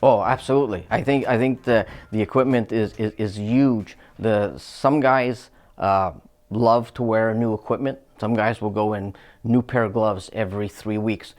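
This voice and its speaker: A middle-aged man speaks in a lowish voice. He answers interview questions in a relaxed, unhurried way, pausing on fillers and restarting his sentences as he explains his craft. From Canada. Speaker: hockey equipment technician.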